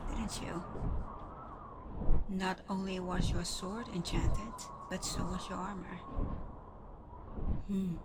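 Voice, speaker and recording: A woman voicing a proud, guarded but softening female water dragon in an ASMR roleplay, speaking softly and teasingly with breathy murmurs. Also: medium pitch, natural voice. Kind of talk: ASMR roleplay, scripted character monologue. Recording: clear background ambience noise.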